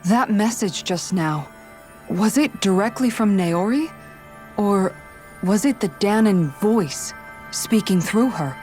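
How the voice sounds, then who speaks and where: Cool-headed woman mage, game drama. Mid-pitched, articulate, wry, music underneath. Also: animated, takes it slowly, darkish tone. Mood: pensive. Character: composed, analytical woman mage with dry wit. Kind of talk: dramatic game cutscene acting.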